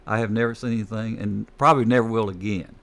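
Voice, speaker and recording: An older man and grandfather reminiscing slowly about baseball in a casual talk, acting as the host. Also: lowish voice, steady tone, a bit bright. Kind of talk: casual chat.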